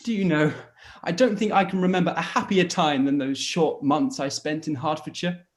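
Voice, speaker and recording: A man playing an amiable, smitten young gentleman in a period radio play, effusive and a little hesitant. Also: fairly high pitch, normal speed, lightly acted. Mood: wistful, smitten. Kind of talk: live-read period radio play acting.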